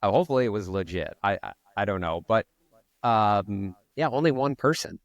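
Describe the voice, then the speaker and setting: Low-voiced guy co-hosting a podcast, walks through stuff step by step, dry close sound. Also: lively intonation, normal speed, dry recording, highs cut off. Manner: explains step by step, thinking aloud. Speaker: podcast co-host. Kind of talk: casual marketing podcast chat.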